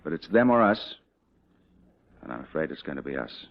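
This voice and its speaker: An actor playing a confident cavalry commander and devoted husband, in mid-century dramatic style. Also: male voice, a bass voice, very animated, normal speed, vocal fry, heavily acted. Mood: grave, resigned. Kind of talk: mid-20th-century American dramatic acting. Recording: dull old radio-era drama recording.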